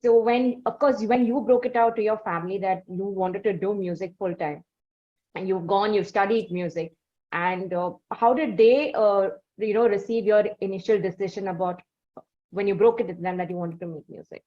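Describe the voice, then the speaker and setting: A woman's voice: medium pitch, fairly even intonation, normal speed. Hesitant woman interviewer, muffled sound. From South India. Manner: hesitant, many fillers. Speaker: interviewer host. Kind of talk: casual interview questions.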